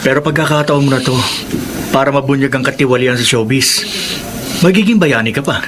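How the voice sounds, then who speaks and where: A man's voice: medium pitch, a little fast, noisy background, natural voice. A man in a radio drama playing a concerned friend who questions and urges. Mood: urging.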